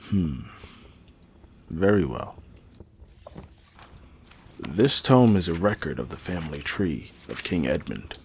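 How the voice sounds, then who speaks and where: A man playing a learned, guarded older mage in a fantasy audio drama, speaking formally and deliberately in a gravelly, husky voice. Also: sits low, very animated, darkish tone, a little hiss or hum, full character voice. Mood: guarded, reflective. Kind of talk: fantasy audio roleplay acting.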